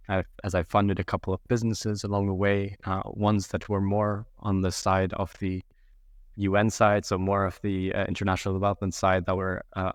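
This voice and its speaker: A young adult man from Yemen, a podcast guest giving measured, reflective answers with frequent fillers. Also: low-pitched, no room sound, studio quality. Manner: measured, thoughtful, many fillers.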